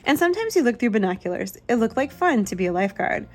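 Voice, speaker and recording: A woman's voice: fairly high pitch, fast. Woman reading a picture book, music behind. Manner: expressive, simple storytelling for young children. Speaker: children's book reader, channel host. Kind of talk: picture-book read-aloud with asides to a child.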